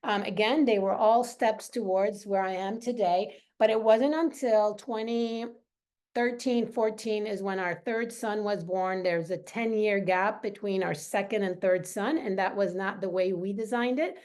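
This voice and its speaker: A woman's voice: medium pitch, unhurried, darkish tone. A middle-aged woman speaking as a wellness coach in an informal live training, earnest, conversational and personal. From Lebanese.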